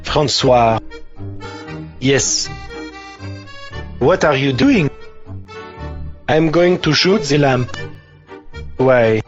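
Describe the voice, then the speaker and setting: A man's voice: medium pitch, lively intonation, very slow, long pauses, highs cut off, soft background music. A man voicing a bumbling, pompous police officer puppet with a stilted, literal-minded manner. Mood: bossy, slow-witted. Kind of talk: comic puppet show acting.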